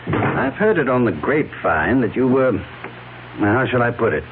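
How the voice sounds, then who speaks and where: An actor playing a formal, cautious attorney who speaks in a measured, deliberate way, in a 1970s radio drama. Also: male voice, mid-range voice, very animated, natural voice. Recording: tinny lo-fi old radio broadcast with faint hiss.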